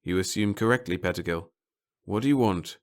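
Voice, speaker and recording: A man's voice: deep voice, brisk. A man voicing a cynical, bored newspaper reporter in an audiobook, with a curt, offhand, sardonic delivery. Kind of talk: audiobook character acting.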